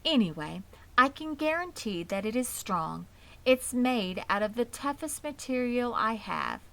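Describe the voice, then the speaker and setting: A woman voicing a wise, dignified, ageing female spider in a storybook, gentle, weary and composed, with slightly formal speech. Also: a little high, expressive, measured pace, vocal fry, voice pushed up, heavily acted. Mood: matter-of-fact.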